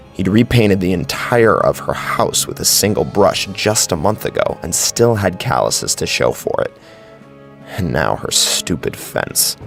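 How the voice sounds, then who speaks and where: A man's voice: low-pitched, creaky. A man narrating a scripted horror story as a brooding, dramatic storyteller. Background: faint music.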